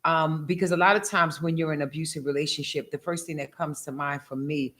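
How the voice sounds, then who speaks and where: A woman's voice: low voice, fairly even intonation, a little fast. A woman in her fifties interviewing a guest, affirming her answers and often repeating her questions. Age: 50s. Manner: warm, affirming questioner who repeats herself. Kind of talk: conversational interview.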